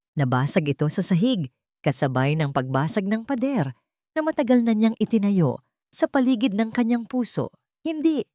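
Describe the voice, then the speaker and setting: A Filipino woman tells a dramatic audio story in a fairly low voice. Her delivery is measured and emotive, with deliberate pauses, and the sound is close and dry. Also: a little mellow, no room sound. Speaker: storyteller, narrator.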